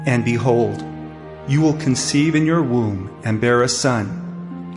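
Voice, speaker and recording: A man acts a solemn angel messenger in a Bible audio drama. He proclaims with measured authority at a medium pitch, over clearly heard music. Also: lightly acted.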